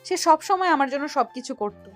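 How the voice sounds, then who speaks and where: A woman playing a lovesick, remorseful younger sister in an audio love story, speaking softly and earnestly in a pleading way. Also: sits high, lively intonation, normal speed, soft background music. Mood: hurt, earnest.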